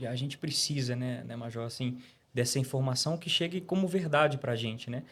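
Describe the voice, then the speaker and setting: A man's voice: mid-range voice, moderate pace, fairly bright tone. A Brazilian man hosting a podcast interview, polite and effusive, often ending with tag questions. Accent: Brazilian Portuguese. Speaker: podcast host.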